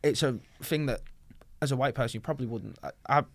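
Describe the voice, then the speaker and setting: A man speaking as a guest in a casual discussion, animated as he recounts personal experiences. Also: mid-range voice, fast talker, gravelly, dry recording. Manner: animated, acting out quoted exchanges. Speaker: panel guest.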